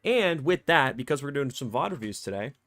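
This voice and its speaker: A male streamer chatting casually and excitably on a live stream, his voice a bit scratchy from cheering, in a warm home room. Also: medium pitch, brisk. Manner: excitable, slangy, rambling.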